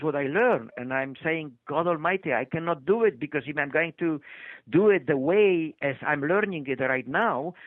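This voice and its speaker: A man's voice: on the high side, no room sound. An older man with a Polish accent, a doctor being interviewed, telling his story with many hesitations and restarts. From Poland. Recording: tinny phone-line audio, dry.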